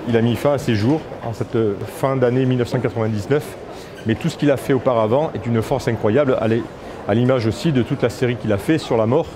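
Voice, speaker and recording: A man in his fifties, an art dealer, presenting a painting with emphasis at an art fair. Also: medium pitch. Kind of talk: explaining an artwork on camera. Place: art fair stand indoors. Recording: crowd chatter behind.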